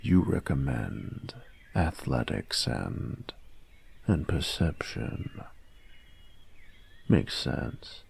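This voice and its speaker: A very low, husky voice for a gruff young male bully played by a man in an intimate audio roleplay. He speaks very slowly and haltingly, in casual slang, acting like he doesn't care while secretly curious. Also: very animated, darkish tone, full character voice. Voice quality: gravelly, husky. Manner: slangy, halting, feigned indifference.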